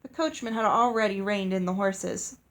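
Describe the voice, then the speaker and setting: A woman's voice: medium pitch, normal speed, a bit bright. A woman reading a story aloud as narrator. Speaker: audiobook reader.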